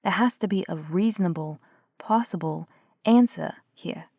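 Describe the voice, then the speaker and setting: Low gravelly woman, skeptical and sarcastic. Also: normal speed, a little mellow, dry recording, studio quality. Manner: skeptical, argumentative, sarcastic, swears casually. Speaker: audio roleplay performer as a skeptical investigator. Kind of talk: scripted one-sided audio roleplay.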